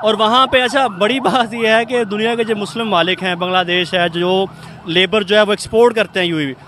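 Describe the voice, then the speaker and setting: A man's voice: sits high, fairly even intonation, brisk, bright, forceful tone. A man giving his view in an outdoor street interview. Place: open street at night. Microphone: handheld. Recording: outdoor street, traffic and sirens.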